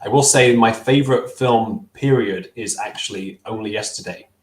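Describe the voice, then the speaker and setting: Young guy on a headset call, lowish voice, lots of ums and restarts, roomy echo. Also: moderate pace. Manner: thoughtful, hesitant, many fillers and restarts. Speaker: stop-motion filmmaker guest. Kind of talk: casual interview answers. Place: small room at home. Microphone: headset on video call.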